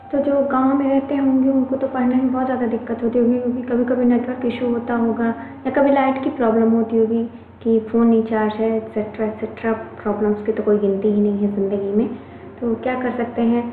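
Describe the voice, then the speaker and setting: An Indian woman gives an online class in a higher voice, chatty and reassuring, going back over each point. Her voice comes through tinny and phone-like. It rings in an echoey room with faint noise behind it. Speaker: online English teacher. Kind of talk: Hindi-English mixed classroom lecture.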